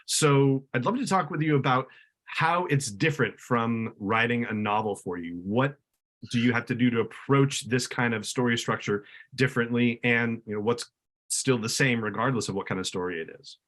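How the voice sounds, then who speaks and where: A man hosting a podcast interview, setting up questions in measured, deliberate phrases. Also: medium pitch, fairly bright tone.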